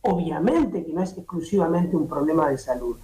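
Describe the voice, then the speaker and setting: A man's voice: fairly high pitch, animated, normal speed, mellow. A middle-aged Uruguayan man, a doctor, explaining at length as a guest over a video call, with many restarts and fillers. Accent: Uruguayan Spanish. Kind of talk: interview on a streaming show. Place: indoor home office. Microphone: laptop or webcam on a video call. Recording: echoey video-call audio.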